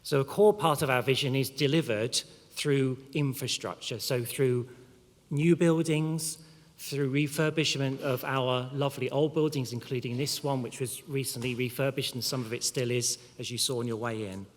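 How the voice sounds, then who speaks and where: A man addressing graduates at a ceremony, speaking in a measured way with pauses and the odd filler. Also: medium pitch, fairly even intonation. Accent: non-local, not Mancunian. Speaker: university official giving a graduation address. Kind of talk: formal ceremony speech. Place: large hall. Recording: long reverb of a large hall.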